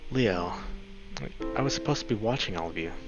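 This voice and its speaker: Man voicing a low, guilt-ridden young wolf. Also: expressive, brisk, natural voice. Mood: earnest, pained. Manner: hesitant, earnest, brooding. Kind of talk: visual novel character reading. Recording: slightly dull mic, music behind.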